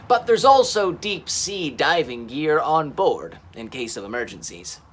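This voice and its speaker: A man plays a helpful dinosaur boss from a children's storybook. He explains things emphatically, in a raised male voice with lively swings. Some noise sits behind him. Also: fairly high pitch, measured pace. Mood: helpful, emphatic.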